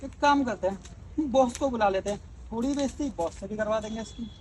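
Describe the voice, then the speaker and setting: A man's voice: sits high, quick pace, darkish tone, far from the mic, a little hiss or hum, close to an everyday voice. A man playing an arrogant, abusive company employee in a melodrama skit, sneering, taunting and threatening. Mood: mocking. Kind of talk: amateur Hindi melodrama skit acting.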